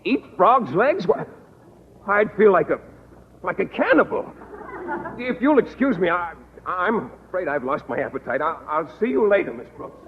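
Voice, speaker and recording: A man's voice: high voice, very animated, moderate pace, a little hiss or hum. An actor playing a shy, flustered male schoolteacher who stammers and hesitates, in radio sitcom style. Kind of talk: 1950s radio sitcom acting. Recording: tinny old radio with echo.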